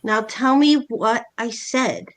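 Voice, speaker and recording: A woman's voice: on the high side, takes it slowly. A woman playing a blunt, exasperated middle-aged mother and wife in an amateur staged play reading, brusque and matter-of-fact. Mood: impatient, defensive.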